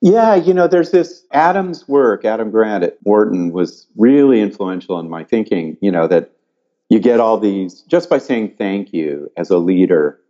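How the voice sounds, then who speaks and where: Lively older man with a dull, lo-fi sound. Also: fairly low pitch, a little slow. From California. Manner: animated, thoughtful, with frequent restarts and asides. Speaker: psychology professor and author. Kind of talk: interview answers.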